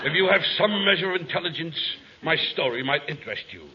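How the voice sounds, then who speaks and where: A man's voice: a little high, expressive, quick pace, very noisy. An actor playing a raggedy vagrant convict who tells his tragic life in florid, theatrical speech, in 1940s radio comedy style. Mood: pompous, wistful. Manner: florid, theatrical, formal diction. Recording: muffled old radio broadcast recording.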